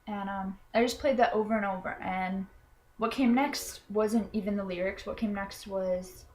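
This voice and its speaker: Young woman, college student, hesitant, lots of ums, mid-pitched voice. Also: fairly even intonation, moderate pace, a little mellow, slight background noise. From Florida. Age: young adult. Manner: hesitant, full of ums and restarts; earnest and self-conscious. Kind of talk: personal explanatory video.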